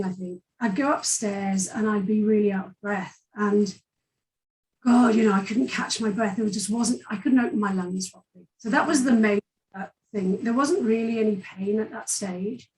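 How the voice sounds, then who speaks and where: A woman with a Welsh accent tells her story in an open, chatty way, full of fillers. Her voice sits at a middle pitch and sounds a little distant from the microphone. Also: moderate pace, a little mellow. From Wales. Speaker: guest. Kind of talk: personal story in an interview.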